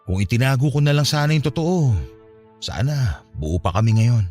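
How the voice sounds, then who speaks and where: A grieving young Filipino man played by a male actor, anguished and full of self-blame. His pitch swings widely with emotion, in the manner of radio drama, and music plays behind him. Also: expressive, moderate pace, darkish tone, natural voice. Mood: bitter regret. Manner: anguished, despairing, self-blaming. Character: grief-stricken, guilt-ridden young man mourning his father.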